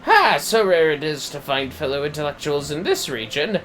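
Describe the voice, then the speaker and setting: A noisy recording carries a man putting on a pompous, excitable male scholar from a fantasy game. He talks in florid, theatrical phrases, his pitch leaping high and swooping. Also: moderate pace. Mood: gleeful, musing. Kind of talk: acted reading of fantasy game dialogue.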